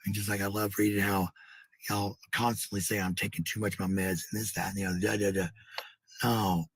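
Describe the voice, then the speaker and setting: A woman with a very raspy, unclear voice talks casually to her followers. She is defensive and blunt, and she swears freely. The sound is dry, with little room to it. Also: rumbling low, level tone, a little fast, no room sound, low-quality recording. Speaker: livestreamer.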